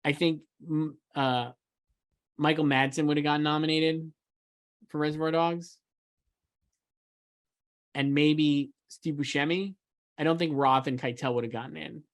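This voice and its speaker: A man's voice: a little high, steady tone, a little slow, long pauses. Emphatic man chatting casually as co-host. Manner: emphatic, mildly sweary. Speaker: awards podcast co-host and pundit. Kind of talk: casual film awards chat.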